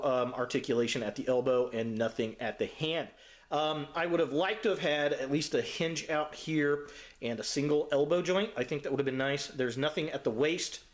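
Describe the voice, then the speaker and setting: Chatty man in an echoey room. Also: medium pitch. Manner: informal, chatty, slangy. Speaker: host of a toy review channel. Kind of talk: casual unboxing review commentary. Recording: roomy, echoey sound.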